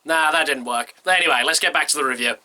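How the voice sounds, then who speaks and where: A man's voice: a little high, raised voice, heavy background noise. A young man with a stuffy nose from a cold, giving a chatty, enthusiastic film review as a vlog host. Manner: chatty and enthusiastic, quick run-on sentences. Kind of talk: casual movie review to camera.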